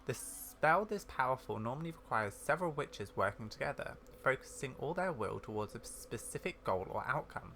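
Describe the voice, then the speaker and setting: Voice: mid-range voice, big pitch swings, moderate pace, few pauses, fairly bright tone, a little hiss or hum. A gamer voicing a kindly, scholarly older witch woman from a video game, in a genteel, musing manner. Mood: musing, grave. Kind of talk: video game dialogue read aloud.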